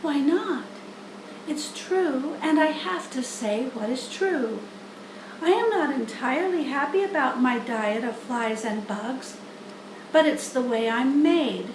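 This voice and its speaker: Woman as a small female spider, storybook, thin high voice, pleasant, genteel, matter-of-fact, unhurried. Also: animated, reverberant, noisy background. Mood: frank, relishing. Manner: measured, genteel, candid. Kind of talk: children's storybook character reading.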